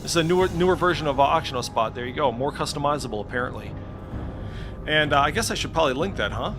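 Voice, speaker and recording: A man's voice: a little high, expressive, fast talker. Casual man thinking aloud over music. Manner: informal, hesitant, mildly profane, thinks aloud. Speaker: tech video creator. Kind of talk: casual unscripted screen-share walkthrough. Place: indoors at a computer.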